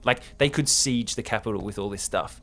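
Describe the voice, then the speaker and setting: A man's voice: fairly low pitch, brisk, a bit bright. A man co-hosting a podcast, recapping a story in an informal, enthusiastic, easily amused way. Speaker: podcast co-host and narrator. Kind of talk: casual recap of a film script with banter.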